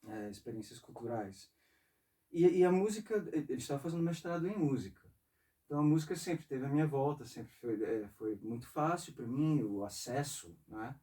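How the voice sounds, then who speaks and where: A man in his forties from northeastern Brazil, an interview guest talking casually and reflectively, in a halting way. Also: mid-range voice, expressive, warm tone, recorded from a distance. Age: 40s. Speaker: interview guest, saxophonist and composer. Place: music studio.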